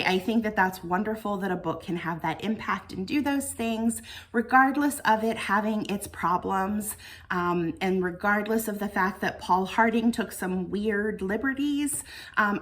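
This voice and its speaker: American woman doing a book review vlog, slow unhurried talk, medium pitch, earnest and chatty. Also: fairly bright tone. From United States.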